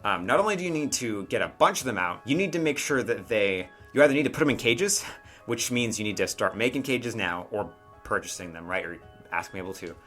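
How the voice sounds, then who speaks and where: A man's voice: fairly low pitch, animated, a little fast, a bit bright, faint music behind. A man narrating a tabletop game as game master in a casual, improvised way, often checking in with his listeners.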